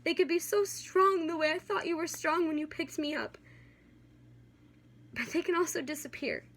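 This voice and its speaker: A woman's voice: extremely high pitch, normal speed, a bit bright. A young woman performing a slam poem in an earnest, rhythmic, dramatic way, as an amateur poet. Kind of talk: slam poetry recitation. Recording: amateur recording with light background noise.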